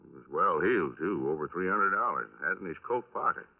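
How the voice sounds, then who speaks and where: A man acting a folksy, unhurried police detective in a 1950s radio drama, speaking in a hesitant, rambling, casual way. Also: low-pitched, animated. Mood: matter-of-fact. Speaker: actor in a radio crime drama. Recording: narrow-band vintage 1950s radio recording.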